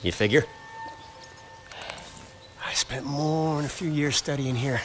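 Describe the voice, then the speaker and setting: An actor playing a gruff, confident adventurer in a video game, with folksy, clipped talk. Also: male voice, mid-range voice, measured pace, a bit bright, soft background music, close to an everyday voice. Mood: assured. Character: gruff, confident male adventurer guiding a partner on a raid. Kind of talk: video game cutscene acting.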